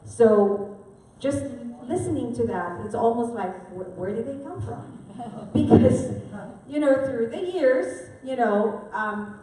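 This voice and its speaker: A woman's voice: on the high side, lively intonation, slow, noisy background. A woman from the Philippines giving a live seminar presentation, talking conversationally and often checking in with the audience. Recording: echoey hall from far off.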